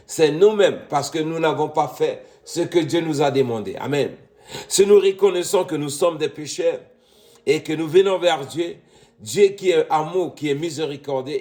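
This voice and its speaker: A man's voice: fairly high pitch, moderate pace, a little mellow. A middle-aged man from Congo preaching a sermon, addressing listeners as beloved and punctuating points with amen, rising to emphatic peaks. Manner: repeats "mes bien-aimés" and "Amen", rising to emphatic peaks.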